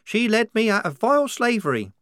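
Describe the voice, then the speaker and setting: A man's voice: high voice, sing-song, a little fast, fairly bright tone, smooth, no room sound, clean studio sound, pitched above the performer's own voice. A man reading an audiobook, voicing a naive, devout nineteen-year-old woman soldier who speaks with fervent earnestness.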